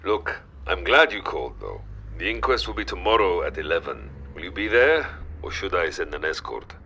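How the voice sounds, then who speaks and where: Man playing a deep, dry police captain. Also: sing-song, normal speed, creaky, sharp tone, husky, lo-fi sound, exaggerated voice. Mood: dry, sardonic. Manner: clipped, formal, dryly sardonic. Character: dry, unflappable male police captain in Cairo. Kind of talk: old-time radio drama acting.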